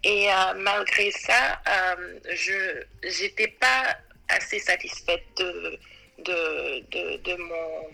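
A woman's voice: fairly low pitch, very slow, vocal fry, sharp tone, rough recording. A woman from Ivory Coast, a guest on an interview podcast, talking in a hesitant way with many fillers and restarts. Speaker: podcast guest, web designer. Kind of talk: casual interview. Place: New York.

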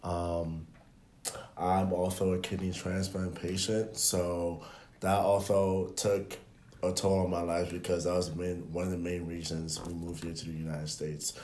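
A man's voice: low-pitched, measured pace, roomy sound, a little hiss or hum. A man in his twenties from Benin, whose first language is French, talking casually as a podcast guest and telling stories with plenty of fillers. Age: 20s. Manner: relaxed storytelling with frequent fillers.

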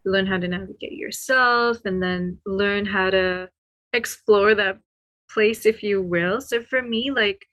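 Woman from Sri Lanka, podcast guest, casual chat, informal, tentative, mid-range pitch. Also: unhurried, a bit bright.

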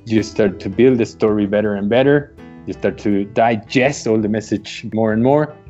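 A man from Mexico whose first language is Spanish, speaking English in a relaxed, reflective conversation with frequent pauses and fillers. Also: on the low side, highs cut off, soft background music. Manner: hesitant, many uh fillers, reflective. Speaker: guest. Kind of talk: casual remote conversation.

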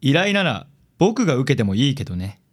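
A man playing a suave, vain young ladies' man in a radio drama, with smooth, affected phrasing. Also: medium pitch, big pitch swings, brisk.

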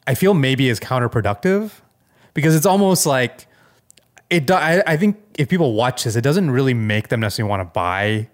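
A man with a mid-pitched voice co-hosts a casual podcast. He talks informally and wanders into loose asides as he explains things. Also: lively intonation, fairly quick. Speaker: podcast co-host. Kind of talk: casual tech chat.

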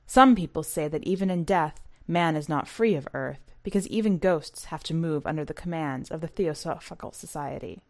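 A woman narrating a short story for a podcast in a measured, precise, scripted audiobook style. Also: sits low.

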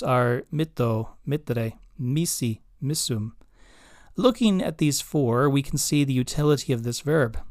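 A man hosting a podcast, reading his own written essay aloud in a measured, scholarly way with careful enunciation. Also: medium pitch, no room sound, studio quality. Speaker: podcast host.

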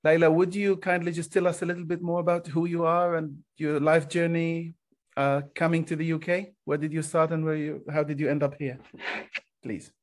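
A man's voice: fairly high pitch, level tone, moderate pace. Polite man moderating a panel. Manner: polite, formal introductions. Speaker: panel host.

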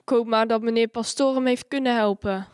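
An anxious young village woman in wartime played by an actress, earnest and full of compassion. Her voice is fairly high and recorded close and dry, in the style of a radio play. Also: fairly even intonation, fairly quick, clean tone, no echo, natural voice. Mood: worried, hopeful. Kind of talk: scripted radio drama dialogue.

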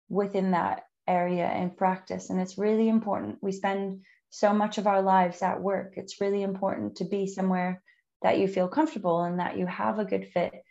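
A woman who is a native English speaker, hosting a conversational interview in a measured, encouraging way with frequent ums. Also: medium pitch, vocal fry, a little mellow, dull audio. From outside the UK. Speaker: podcast host.

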